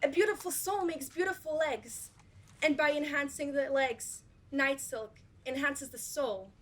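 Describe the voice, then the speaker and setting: A woman's voice: sits high, a little slow, background noise. A teenage girl acting in a school stage play as a pompous, salesy corporate casting agent. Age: teens. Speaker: student actor.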